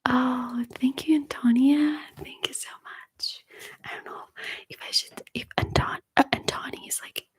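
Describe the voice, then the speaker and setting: A woman chatting to live viewers in a breathy ASMR whisper, with a hesitant, nervous manner. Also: high voice, fairly even intonation, a little slow. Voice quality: breathy whisper, mostly unvoiced. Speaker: ASMR streamer hosting a live chat. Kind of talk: whispered ASMR casual livestream chat. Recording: faint background noise with clicks.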